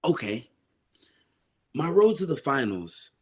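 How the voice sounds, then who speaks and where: A man speaks as an amateur athlete in a casual, reflective interview. His voice sits at a medium pitch, and he is earnest and emphatic, often repeating a phrase to stress it. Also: big pitch swings, takes it slowly, husky. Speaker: amateur boxer being interviewed.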